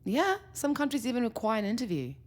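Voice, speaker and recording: A woman's voice: fairly high pitch, very animated, quick pace, a little mellow. A woman playing a curious, incredulous, wry young woman on a date in a naturalistic audio drama, reacting with surprise and dry asides. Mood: explaining. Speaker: lead actor in a fiction podcast.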